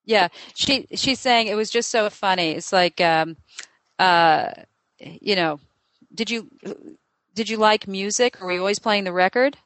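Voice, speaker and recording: A chatty woman psychic giving a reading, her talk full of fillers and quick strings of questions. Her voice sits at a middle pitch. The sound is dry and close, with no room echo. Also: fairly bright tone. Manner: chatty, thinking aloud with many fillers; asks many quick questions in a row. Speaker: psychic medium. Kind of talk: live reading session with a client.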